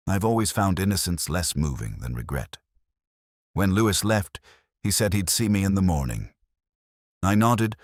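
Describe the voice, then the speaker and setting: A man reading a scripted first-person detective story in a measured, brooding, reflective way. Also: very deep voice, dry recording, clean studio sound. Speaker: narrator.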